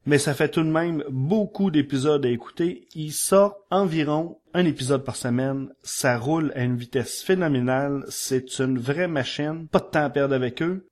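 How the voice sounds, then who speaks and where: A man's voice: mid-range voice, darkish tone. A man with a Quebec French accent giving an informal, enthusiastic review segment as a podcast columnist.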